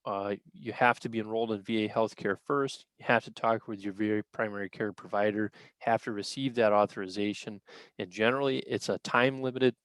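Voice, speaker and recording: A man with a fairly low voice and an American accent gives an informal explanatory briefing. He talks haltingly, often pausing partway through a sentence. The sound is dry, with little room echo. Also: fairly even intonation, a bit bright. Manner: halting, explanatory, frequent pauses mid-sentence. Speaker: county veterans service officer presenting benefits.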